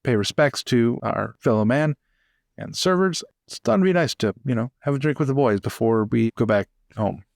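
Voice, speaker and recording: A man playing a tabletop roleplaying game, improvising casually with halting, tentative phrasing. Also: medium pitch, animated, vocal fry, darkish tone, dry recording, clean studio sound.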